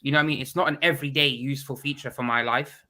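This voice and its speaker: Bright-voiced man with a stuffy cold. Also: mid-range voice, rapid-fire. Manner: animated and opinionated, blunt. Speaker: guest. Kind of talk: casual chat.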